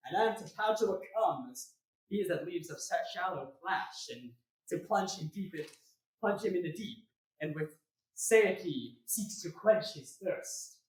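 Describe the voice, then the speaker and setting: An earnest young gentleman student of Shakespearean comedy, acted by a man. He declaims verse in a high male voice, far from the microphone in an echoing room. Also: lively intonation, unhurried. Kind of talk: theatrical staged reading of Shakespeare. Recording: echoey room, recorded from far off.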